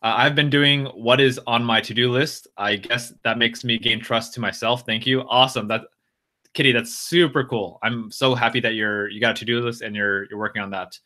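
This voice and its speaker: An American man hosts a live stream, speaking in a bright, forceful voice at a middling pitch. He rambles easily, stopping and restarting as he reads out and answers his viewers' comments. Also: steady tone, normal speed. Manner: loose, rambling, full of restarts and fillers. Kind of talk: casual live stream chat.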